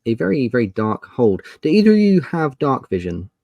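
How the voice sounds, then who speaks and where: A man's voice: medium pitch, sing-song, a little fast, dry recording, phone-quality sound. A man running a tabletop role-playing game as game master, narrating casually and thinking aloud as he goes.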